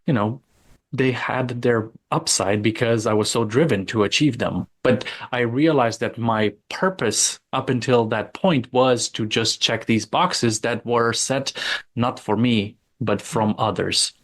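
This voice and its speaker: A man from Romania with a Romanian accent, a guest on an interview show, speaking in a thoughtful, deliberate way. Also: medium pitch. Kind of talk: interview conversation.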